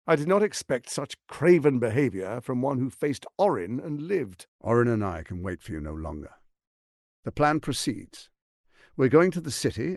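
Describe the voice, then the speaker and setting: A grandiose tyrant of a fantasy video game, speaks in a mid-pitched male voice with a creaky grain. His delivery is pompous and articulate, hugely varied in intonation, full of condescension and calculated menace. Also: dry recording, studio quality. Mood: mocking. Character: scheming, silver-tongued tyrant archduke, grandiose and menacing. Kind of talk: theatrical video game voice acting.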